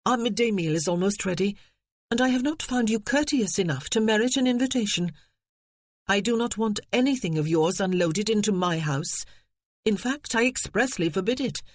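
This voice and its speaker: A man's voice: high voice, animated, normal speed, no echo, clean studio sound, pitched above the performer's own voice. A man doing a firm, dignified young woman. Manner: polite but firm.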